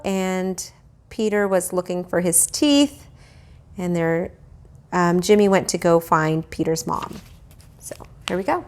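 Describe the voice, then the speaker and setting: A woman reads a children's book aloud like a teacher to her class. Her delivery is expressive and animated, with pitch that rises and falls freely. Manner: expressive, addressing children directly. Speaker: teacher reading aloud to pupils. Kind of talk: storybook read-aloud narration.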